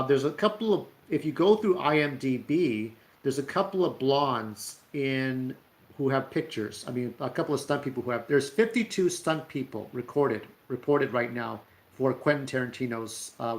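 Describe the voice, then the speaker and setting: A man hosting an unscripted, casual commentary, rambling and often halting as he searches for names. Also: mid-range voice, fairly bright tone. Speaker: host of a stunt news show.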